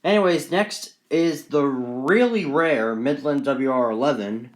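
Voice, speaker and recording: Male hobbyist vlogger, mid-pitched, casual and unscripted, rambling through details with repeats and enthusiasm. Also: measured pace, poor audio. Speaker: hobbyist collector presenting radios on video. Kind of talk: casual unscripted show-and-tell vlog.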